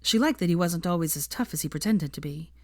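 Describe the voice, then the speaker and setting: A woman's voice: fairly low pitch, expressive, quick pace, dry recording, studio quality. A woman narrating a story from a script in an audiobook style. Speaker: audiobook-style fan fiction reader.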